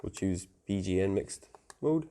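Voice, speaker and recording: A man's voice: low voice, lively intonation, unhurried, dark tone. A man giving a casual technical tutorial in an informal, plain-spoken, conversational way. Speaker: technical presenter explaining network setup.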